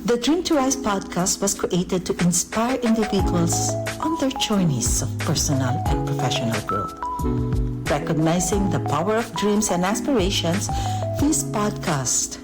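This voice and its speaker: A woman reading a scripted podcast introduction in a measured, formal way. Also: medium pitch, big pitch swings. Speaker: intro narrator. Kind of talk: scripted narration. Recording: voice-over with loud music behind.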